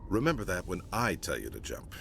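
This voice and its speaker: Gruff, animated space navy admiral. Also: male voice, low voice, brisk, a little hiss or hum, exaggerated voice. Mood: stern, disdainful. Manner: blunt, stern, grumbling. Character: gruff, disapproving senior space navy admiral inspecting a warship. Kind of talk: video game voice acting.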